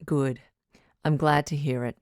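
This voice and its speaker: A woman playing a composed, frank relationship doctor who hosts a radio call-in drama in a measured, counselling way. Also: deep voice, steady tone, a little mellow, no room sound, studio quality. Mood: firm, caring. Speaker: radio advice show host.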